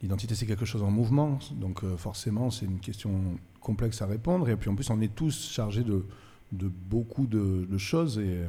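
A man from France with a fairly low, mellow voice talks about his life and music as a radio guest. He speaks reflectively, pausing with fillers as he searches for words. A long hall reverb trails his voice. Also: breathy edge. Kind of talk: reflective interview storytelling. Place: cultural centre venue.

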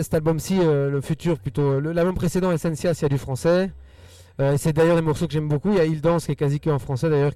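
A man with a Belgian French accent whose first language is Spanish, earnestly answering interview questions in quick rushes with repeated words. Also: a little high, dark tone, dry recording, recorded from a distance. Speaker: musician guest.

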